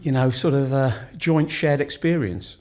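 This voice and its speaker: A man's voice: mid-range voice, a little mellow. Reflective English man in his fifties. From Lancashire, England. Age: 50s. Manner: measured, reflective storytelling. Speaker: podcast guest, recruitment director. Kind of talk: casual conversational interview.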